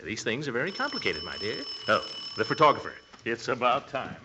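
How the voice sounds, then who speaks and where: An actor playing a pompous, fussy middle-aged American uncle running for mayor in a 1940s radio comedy. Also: male voice, medium pitch, huge pitch swings, fairly quick, noisy background. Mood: fussy, self-important. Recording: dull old-time radio recording.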